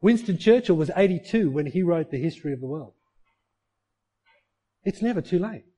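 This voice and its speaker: Middle-aged male pastor, warm mellow tone, slightly higher voice, earnest sermon delivery with rhetorical questions. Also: expressive, normal speed. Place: church.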